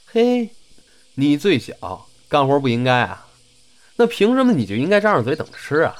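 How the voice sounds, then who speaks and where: A man's voice: fairly high pitch, wildly swooping pitch, normal speed. A man acting a teasing, bossy young senior apprentice in an audio drama. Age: young adult. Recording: background music under the voice.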